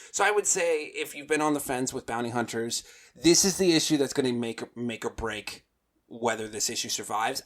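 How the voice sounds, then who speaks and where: A man's voice: mid-range voice, a bit bright. A man chatting casually as a panelist on a comics review podcast, in a conversational style. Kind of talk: casual chat.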